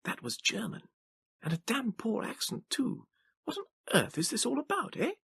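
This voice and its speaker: A man voicing an excitable, blunt Victorian gentleman who exclaims in a hushed, raised voice. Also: very high voice, moderate pace, gravelly, breathy edge, no echo, studio quality, voice pushed up, heavily acted. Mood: scornful.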